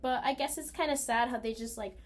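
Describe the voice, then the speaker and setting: A woman's voice: fairly high pitch, fairly quick. A young woman talking casually and reflectively about herself, in an informal way full of "like". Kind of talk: casual personal conversation.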